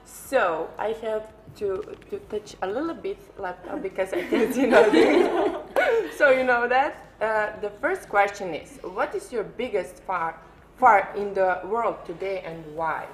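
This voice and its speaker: A woman with halting non-native English, chatting casually on a pageant live stream. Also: mid-range voice, lively intonation. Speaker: pageant contestant reading fan questions. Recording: echoey room with faint noise.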